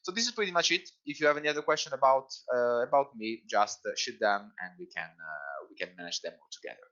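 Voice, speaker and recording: A man with an Italian accent presenting in a webinar as an instructor, pausing often to search for words. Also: mid-range voice, animated, pushed and bright. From Italy. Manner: halting, searching for words in a second language. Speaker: Salesforce instructor. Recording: muffled lo-fi webinar audio.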